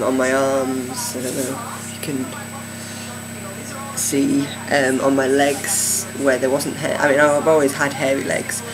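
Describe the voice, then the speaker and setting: A man talks through a casual video diary in a mid-pitched, gravelly voice, pausing often with fillers and starting phrases over. A steady air-conditioning hum runs under the whole recording. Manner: hesitant, many fillers and restarts. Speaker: vlogger.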